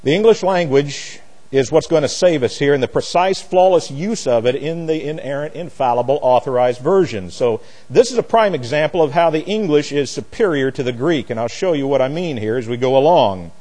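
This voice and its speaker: A man's voice: on the high side, expressive, a little fast, warm tone. A man preaching as a pastor in a Bible lesson, speaking in an emphatic, opinionated, plain-spoken and folksy way. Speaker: pastor teaching a Bible study. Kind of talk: sermon, expository Bible teaching.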